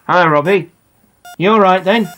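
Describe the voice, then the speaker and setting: A woman voicing a father who is excited and trying to hide it, building up hesitantly in an audiobook drama. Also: mid-range voice, normal speed, husky, voice pushed up. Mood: suppressed excitement.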